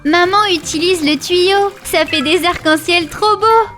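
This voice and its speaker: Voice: very high voice, unhurried. An actor voicing a playful, impatient little child in a rhyming children's cartoon, with exclamatory singsong delivery. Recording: music behind the voice. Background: children's music.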